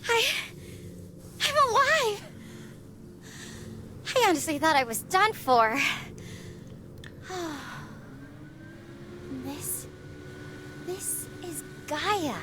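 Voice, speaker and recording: A text-to-speech woman's voice playing a stranded young researcher who gives earnest, measured explanations. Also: very high-pitched, big pitch swings, noisy background, full character voice. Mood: shaken relief. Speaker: astrophysics researcher.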